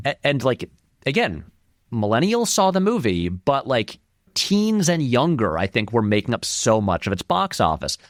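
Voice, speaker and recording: A man speaks as an interview guest with a lively, wide-swinging pitch at a middle register. His delivery is informal and discursive, leaning on words for emphasis. The sound is dry, with very little room to it. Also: moderate pace, dry recording. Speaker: interview guest, film critic and video essayist. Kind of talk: conversational interview commentary.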